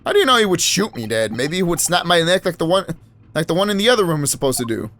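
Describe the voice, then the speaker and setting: A nervous young man stumbles over his words and restarts his sentences, as a man voices him in a near-normal tone. His pitch swings lively as he talks. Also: fast talker. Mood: anxious. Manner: flustered, stammering restarts.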